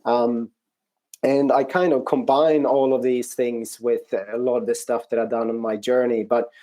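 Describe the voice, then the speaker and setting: A man with a Swedish accent, speaking conversationally as an interview guest, in a reflective, hesitant way with frequent pauses. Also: mid-range voice, little pitch movement, dark tone. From rural Sweden, outside Norrköping. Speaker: interview guest, non-profit country manager. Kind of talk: conversational personal account in an interview.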